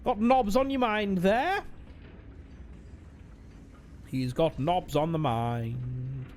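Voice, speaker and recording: A man's voice: on the high side, animated, very slow, darkish tone, a little hiss or hum. A man streaming a game, chatting casually with wry joking asides.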